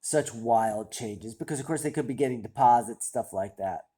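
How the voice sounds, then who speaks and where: Host, male, nasal and congested with a cold, mellow husky tone, hesitant self-mocking musing. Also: medium pitch, normal speed. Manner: self-deprecating, hesitant, rambling. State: stuffy nose from a cold. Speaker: stock-picking channel host. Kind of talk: casual thinking-aloud commentary.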